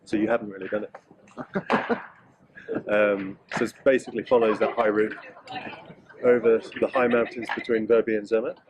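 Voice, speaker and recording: A man's voice: lowish voice, flat delivery, moderate pace, pauses often, noisy background. A middle-aged English man chatting casually in an interview, joking and hesitating with fillers. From England. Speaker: interviewee, mountain bike guide.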